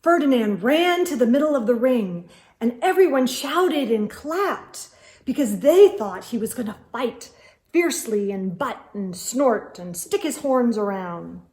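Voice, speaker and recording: A woman's voice: on the high side, lively intonation, unhurried, darkish tone. A woman reading a children's storybook aloud as host, expressively and with emphasis, speaking directly to young listeners.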